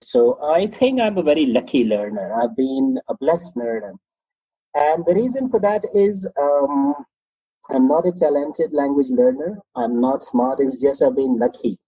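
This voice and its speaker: A man's voice: fairly high pitch, measured pace, breathy edge, dry recording, lo-fi sound. A man from Pakistan with a Pakistani accent, an English teacher talking about his life as a language learner. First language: Pashto. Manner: animated, reflective, often laughs at himself. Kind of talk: conversational interview.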